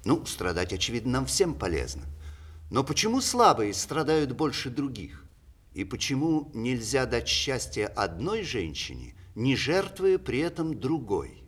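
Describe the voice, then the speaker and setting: Aging witty playwright, raspy, very lively intonation, ironic, mock-tragic, deliberate, 1960s radio theatre. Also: male voice, mid-range voice. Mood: troubled, reflective. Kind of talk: 1960s Russian stage radio play, letters performed aloud.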